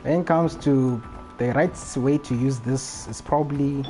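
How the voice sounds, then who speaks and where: A man's voice: medium pitch, a little slow. A man giving casual, think-aloud commentary while playing a video game on a live stream, with mild swearing. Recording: game music behind the voice. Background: loud game music and effects.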